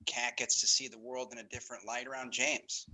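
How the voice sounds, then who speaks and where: A man's voice: mid-range voice, flat delivery, fairly quick, pushed and bright, poor audio. A man playing an earnest groomsman in his thirties, giving interview-style lines in a comedy table read.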